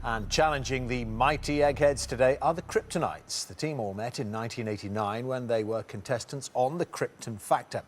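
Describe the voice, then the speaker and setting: A man's voice: medium pitch, moderate pace, few pauses, slight background noise. Quiz show host man, chatty. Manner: chatty, jokey, with frequent fillers. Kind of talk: scripted TV quiz hosting.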